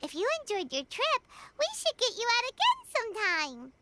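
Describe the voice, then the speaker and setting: A woman voicing a tiny, chirpy female companion creature in a video game, bouncy and excitable. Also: normal speed, heavy background noise, full character voice. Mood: cheerful. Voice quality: very high, squeaky. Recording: game audio with loud music bed.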